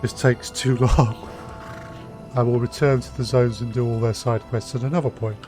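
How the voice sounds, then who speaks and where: A British man commentates casually on a game he is streaming. His pitch is average and he thinks aloud in short, offhand remarks. Music is clearly audible behind him. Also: fairly even intonation, moderate pace. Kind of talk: casual live gameplay commentary.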